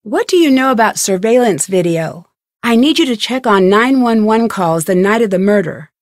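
A woman playing a young, inquisitive and determined female amateur detective in a video game, speaking her scripted lines clearly. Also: mid-range voice, expressive, moderate pace, dry recording, studio quality, lightly acted. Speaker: voice actress in a detective adventure game.

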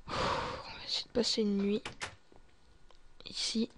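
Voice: mid-range voice, level tone, slow, fairly bright tone. A gamer narrating a zombie survival roleplay in character, muttering to themself and often dropping to a whisper. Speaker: gaming video creator playing a survivor.

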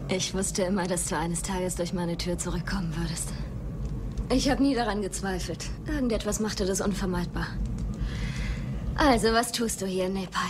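An actress playing a feisty, bitter young bar owner in a film dub, proud, defiant and sardonic. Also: female voice, medium pitch, normal speed, lightly acted. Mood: cool, knowing. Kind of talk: dubbed film acting. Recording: noisy old film dub soundtrack.

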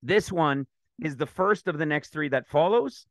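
A man teaching scripture over a screen share, talking in an excited, rambling way with a slightly raspy throat. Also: on the high side, normal speed, dry recording, clean studio sound. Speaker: ministry teacher.

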